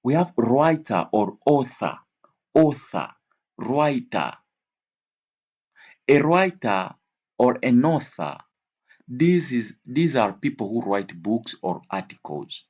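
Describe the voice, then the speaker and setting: A man's voice: medium pitch, sing-song, slow pace, pauses often, darkish tone, highs cut off. A man teaching an English vocabulary lesson in a didactic way, repeating key words and switching between languages.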